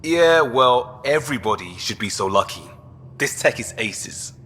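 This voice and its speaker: Male starship crewman: keen on gear, casual and slangy, bright edge, mid pitch, reverberant game scene. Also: expressive, a little slow, slightly rough. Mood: keen, breezy. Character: easygoing, gear-loving male spaceship crewman. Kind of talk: video game dialogue.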